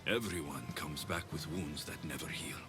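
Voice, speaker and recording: A man acting a calm, protective ancient Greek mercenary in a video game, steady and reassuring in manner. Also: a bass voice, moderate pace, music in the background. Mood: gentle concern.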